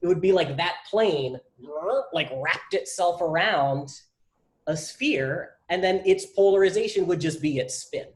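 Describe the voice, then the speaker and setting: A man's voice: high-pitched, measured pace. A young man with a South Jersey accent, casually explaining physics at a meetup, rambling with many fillers. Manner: rambling, heavy use of "like" fillers. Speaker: meetup presenter.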